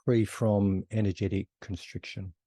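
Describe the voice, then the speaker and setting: A man leading a guided meditation as a teacher, unhurried, giving one short phrase at a time with long pauses. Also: lowish voice, flat delivery, warm tone, dry recording, studio quality.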